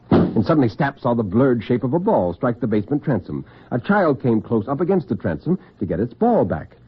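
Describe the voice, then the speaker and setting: A man narrating an old-time radio suspense story with deliberate, literary intensity and a husky voice. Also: mid-range voice. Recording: tinny, band-limited vintage radio recording.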